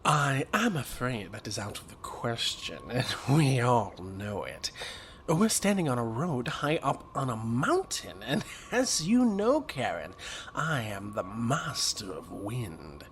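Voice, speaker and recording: A man's voice: mid-range voice, sing-song, slow pace, fairly bright tone. A man playing a suave, condescending weather-mage villain in an audio drama, taunting with mock politeness. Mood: smug threat. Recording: clear background noise.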